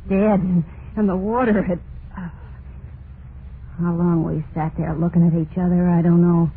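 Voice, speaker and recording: An actress playing a terrified, unhinged wife in an old-time radio horror monologue, halting and overwrought, full of guilt and dread. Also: female voice, on the low side, natural voice. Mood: horrified. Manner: halting, overwrought, drawn-out dramatic delivery. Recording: noisy narrow-band vintage radio recording.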